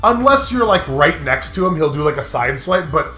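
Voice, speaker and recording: A man's voice: a little high, very animated, normal speed, bright, forceful tone. A man co-hosting a game show in casual, profane chat, explaining things at length in a rambling way. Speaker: co-host of a gaming playthrough show. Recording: roomy echo with music behind.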